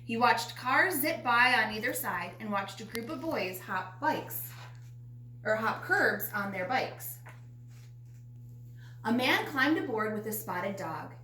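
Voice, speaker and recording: Female storybook narrator, fairly high voice, reading aloud in a roomy, faintly noisy recording. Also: lively intonation, slow pace, bright, forceful tone. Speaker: storybook reader. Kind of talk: children's picture book read-aloud. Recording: roomy echo, faint background noise.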